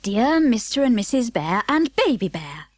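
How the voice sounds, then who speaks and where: A woman voicing a gentle, motherly bear in a children's storybook, speaking with careful deliberation. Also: fairly high pitch, sing-song, normal speed, dry recording, studio quality. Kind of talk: children's storybook character reading.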